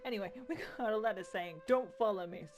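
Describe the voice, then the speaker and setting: A woman's voice: medium pitch, quick pace, over music. A woman giving casual, sarcastic game playthrough commentary, informal and given to swearing. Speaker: gaming video host.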